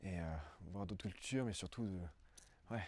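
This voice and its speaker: A man's voice: deep voice, normal speed, darkish tone, dry recording. A man in his twenties from Brussels giving a casual interview testimony, hesitant and often searching for words. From Brussels, Belgium.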